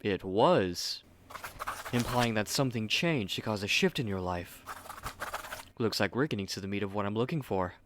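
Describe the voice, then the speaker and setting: A man's voice: fairly low pitch, expressive, normal speed, noisy background, close to an everyday voice. A man playing a haughty, fussy young manga artist in an ASMR roleplay, speaking curtly and articulately to the listener on a close mic. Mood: haughty, inquisitive.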